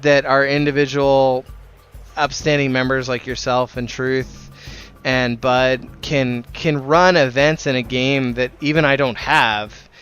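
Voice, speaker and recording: Male streamer and group leader, crisp bright voice, earnest rambling gamer chat, swears freely. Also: mid-range voice, steady tone, a little slow, dull audio, faint music behind. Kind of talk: casual talk while playing a game on stream.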